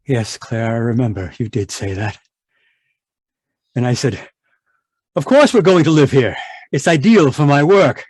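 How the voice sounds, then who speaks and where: A man's voice: mid-range voice, lively intonation, measured pace, pauses often, a little mellow, breathy edge, no echo. An actor playing a guilt-ridden, domineering male chemist in an old-time radio horror play, swinging between haunted muttering and clipped, imperious speech. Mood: irritable, imperious.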